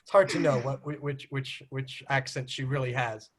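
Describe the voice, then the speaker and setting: An American man hosting a casual group video call, talking in an animated, teasing way and often mimicking accents. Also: mid-range voice, normal speed. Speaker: host.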